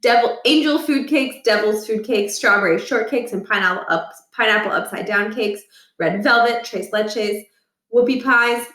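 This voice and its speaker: A woman reading a children's storybook aloud with expressive, deliberate delivery in a roomy space. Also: on the high side, far from the mic. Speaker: read-aloud reader. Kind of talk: scripted storybook narration. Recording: roomy, echoing room.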